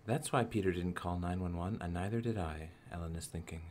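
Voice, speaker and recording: A man's voice: sits low, a little fast, a little mellow. A man narrating a radio play as a storyteller who observes the characters in scripted present-tense prose. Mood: measured narration.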